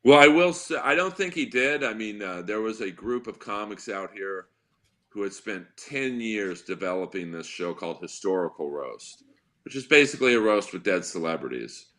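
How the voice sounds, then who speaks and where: A man with a deep voice chats loosely as a podcast guest. His tone is low and bright, and he tells long, wry stories with a dry edge. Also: expressive, normal speed. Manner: wry, rambling storyteller. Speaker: guest comedian. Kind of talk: casual podcast chat.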